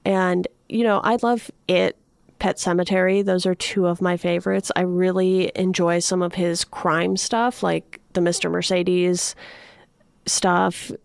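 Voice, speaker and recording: A woman talks casually as a podcast co-host in a book discussion. Her voice sits a little low and has a gravelly fry to it. The recording is dry, with very little room sound. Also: steady tone, a little slow, no echo. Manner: frequently laughs while talking.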